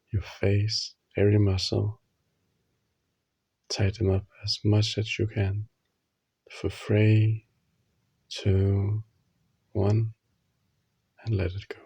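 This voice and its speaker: Male meditation guide, husky and very unhurried, soothing short phrases, even delivery for sleep relaxation. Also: on the low side, no room sound. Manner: slow, soothing, instructional, with long pauses between short phrases.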